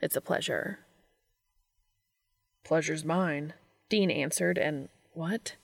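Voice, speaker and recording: A woman narrates a story in audiobook style. Her pitch sits a little low and carries some gravelly fry. The sound is dry, with very little room in it. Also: no room sound. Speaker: fan fiction reader.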